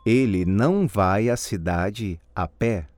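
Low-voiced man for language-course answers, unhurried and carefully spoken, light hiss on the track. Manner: slow, deliberate, clearly enunciated. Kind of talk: scripted language drill answers.

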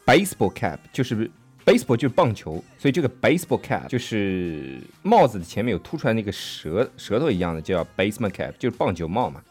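A man's voice: lowish voice, big pitch swings, fast, a little mellow, breathy edge, faint music behind. A man co-hosting a language podcast in casual talk, explaining words, spelling them out letter by letter and checking in with his partner. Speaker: podcast co-host teaching English. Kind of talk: casual bilingual chat, explaining English words. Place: studio in Sydney.

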